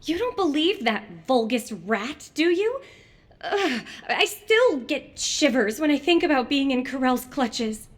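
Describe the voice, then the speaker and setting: An actress playing an energetic, feisty young female fighter in a video game, talking indignantly. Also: sits high, measured pace. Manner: animated, indignant.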